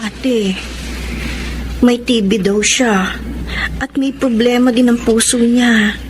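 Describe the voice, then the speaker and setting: High-voiced, soft-hearted younger sister. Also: female voice, fairly even intonation, takes it slowly, noisy background. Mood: concerned. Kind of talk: Filipino radio drama acting. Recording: music bed under the voice. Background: dramatic music.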